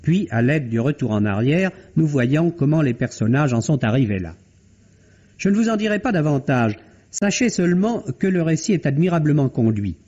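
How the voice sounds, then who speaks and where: Dark-toned man, formal vintage radio critic. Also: a little high, big pitch swings, moderate pace. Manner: articulate, formal, literary phrasing. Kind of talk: 1950s French radio film review, formal delivery. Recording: dull, band-limited vintage radio recording.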